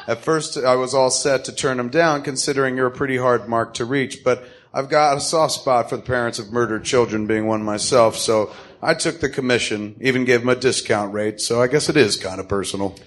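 A man's voice: medium pitch, fairly even intonation, a little fast, lightly acted. A man playing a wry, matter-of-fact hired killer in a comic-book radio drama reading, speaking casually. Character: gruff, businesslike male hired killer, grimly wry.

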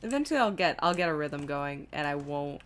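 A woman's voice: sits low, lively intonation, a little fast. A woman streaming a video game with casual commentary, thinking aloud in a self-deprecating, exasperated way.

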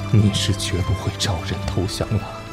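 A man's voice: deep voice, little pitch movement, moderate pace, close to an everyday voice. A man playing a proud, passionate Nationalist army officer in a Chinese radio drama. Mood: grave, searching. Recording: music and rain behind.

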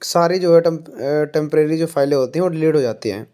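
Unhurried man explaining steps. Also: on the high side, warm tone. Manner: unhurried, explanatory, fills pauses with "jo hai". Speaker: tech tutorial presenter. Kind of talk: step-by-step phone tutorial.

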